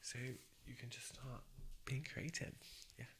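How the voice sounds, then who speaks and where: A man speaking softly and breathily in an ASMR job interview roleplay, gently encouraging and a little hesitant. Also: mid-range voice, fairly even intonation, unhurried, slight background noise. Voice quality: soft, breathy voice with whispery moments. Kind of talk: soft-spoken ASMR roleplay.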